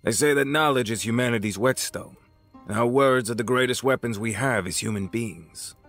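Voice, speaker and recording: An actor playing an older crime boss in an audio drama, patronizing and deliberate, with a smug, folksy menace. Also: male voice, medium pitch, a bit bright, faint music behind, natural voice. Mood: sly, needling.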